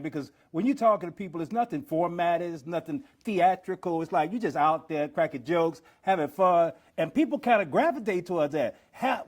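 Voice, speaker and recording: A man's voice: a little high, darkish tone. A man hosting a podcast interview, encouraging his guest and asking long, wordy questions. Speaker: podcast host.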